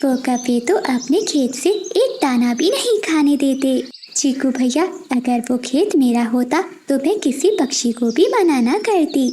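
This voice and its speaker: A woman's voice: sits high, lively intonation, a little slow, dark tone. A woman voicing a kind little female sparrow, earnest and pleading, in a children's cartoon. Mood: concerned. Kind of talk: animated children's moral story dialogue.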